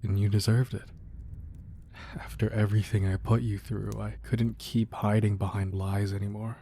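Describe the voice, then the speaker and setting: A man playing a devoted, protective crime boss boyfriend in a romantic ASMR roleplay, speaking softly and earnestly with hesitant pauses. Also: on the low side, flat delivery, slight background noise, lightly acted. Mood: tender, reflective. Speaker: audio roleplay performer. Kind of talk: romantic ASMR roleplay, intimate scripted monologue.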